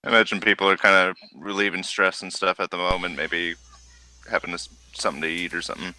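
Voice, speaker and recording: A man's voice: low-pitched, flat delivery, quick pace, bright, forceful tone, no echo, rough recording. A man casually narrating a tabletop role-playing game as the game master.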